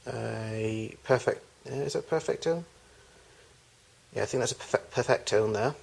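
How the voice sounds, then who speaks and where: A man's voice: medium pitch, normal speed, creaky. A man giving an unscripted tour of his collection in a home video, recorded in a garage on amateur camcorder audio.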